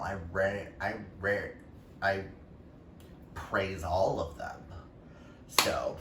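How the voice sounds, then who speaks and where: Slow-talking, low-voiced man vlogging in echoey room. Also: sharp tone. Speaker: book YouTuber. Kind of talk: casual chatty vlog talk to camera. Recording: roomy echo, distant mic, faint noise.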